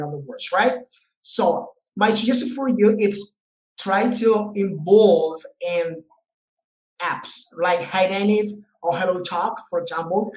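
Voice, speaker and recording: A man from Colombia with a Colombian Spanish accent, a guest English teacher talking casually with fillers and restarts. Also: high-pitched. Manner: loose, repetitive, many fillers and restarts. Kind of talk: casual interview talk. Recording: roomy echo.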